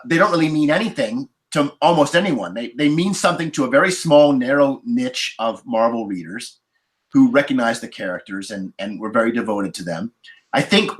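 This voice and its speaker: A man in a casual podcast roundtable, talking in a rambling, halting way and repeating words as he forms his thoughts. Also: fairly high pitch, poor audio. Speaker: podcast panelist.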